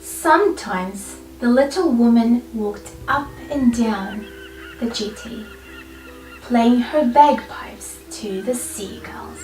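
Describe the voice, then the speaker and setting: An echoey recording with faint music underneath carries a woman's fairly high voice. She reads a children's story aloud with deliberate, dramatic phrasing, leaving room between her words. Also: lively intonation, darkish tone. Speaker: storyteller reading a picture book aloud. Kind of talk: expressive children's story reading. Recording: roomy, distant mic, faint music behind. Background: faint background music.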